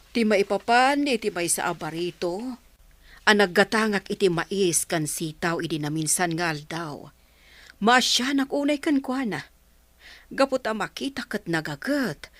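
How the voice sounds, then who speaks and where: A woman's voice: on the low side, animated, unhurried, a bit bright, no echo. A woman with an Ilocano accent playing a worried, reproachful village mother in a radio drama. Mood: earnest.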